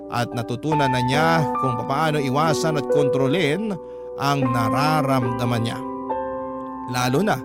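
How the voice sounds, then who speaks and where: A man reading a first-person letter as a scripted radio story narration, with music behind him. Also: fairly high pitch. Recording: prominent music bed under the voice. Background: loud background music.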